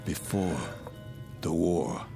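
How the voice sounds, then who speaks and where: An actor playing a disoriented, reserved ship passenger, halting and formal, in a radio drama. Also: male voice, low-pitched, gravelly, music in the background, full character voice. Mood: bewildered.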